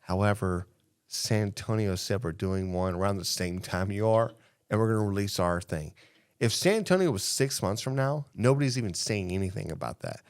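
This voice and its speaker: A man's voice: lowish voice, lively intonation, normal speed. A man co-hosting a casual podcast, giving opinions emphatically, repeating himself and often checking in with "right?". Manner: emphatic, repetitive, often tagging statements with "right?". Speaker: podcast co-host. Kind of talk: casual opinionated panel chat.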